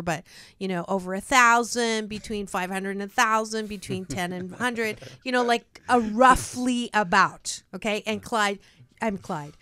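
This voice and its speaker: A woman's voice: medium pitch, sharp tone, clear, resonant tone, no room sound. A middle-aged woman hosting a radio talk show, chatty and bubbly, laughing often and restarting her sentences. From Canada. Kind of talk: casual radio chat with guests.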